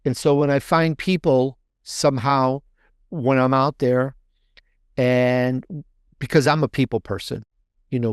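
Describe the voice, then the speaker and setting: A man's voice: medium pitch, no room sound, studio quality. A man talking casually as a podcast guest, chatty and rambling, often repeating and restarting his phrases. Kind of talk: casual, conversational interview answer.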